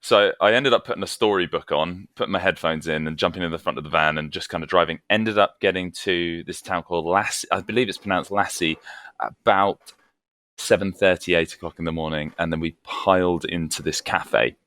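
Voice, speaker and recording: Guest: man, low-ish voice, bright and forceful, very dry sound, chatty and self-mocking storyteller. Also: a little fast, no echo. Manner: chatty, self-deprecating, laughs often. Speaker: guest vocalist. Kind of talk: casual interview storytelling. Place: home, evening.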